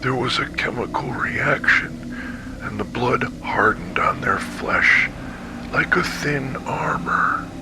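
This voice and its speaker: An actor playing a traumatized, weakened male survivor giving halting, breathy testimony in an analog horror story. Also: medium pitch, noisy background, lightly acted. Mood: haunted, grim. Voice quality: breathy, whispery. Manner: slow, halting, haunted. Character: traumatized male survivor of a monstrous captivity, haunted and weak.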